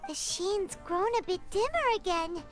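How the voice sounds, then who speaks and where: Very high, squeaky, excitable little companion creature. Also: female voice, a little slow. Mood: excited, observant. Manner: exclamatory, blunt, cheeky. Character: small, excitable, childlike female floating companion creature. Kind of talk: scripted video game voice acting. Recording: background music under voice.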